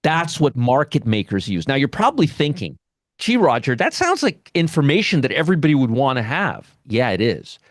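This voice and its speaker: A man's voice: mid-range voice, sing-song, normal speed, dry recording, studio quality. A man hosting a live trading webinar, teaching options and often checking in with "you understand?" as he repeats his points. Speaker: options trading educator, show host.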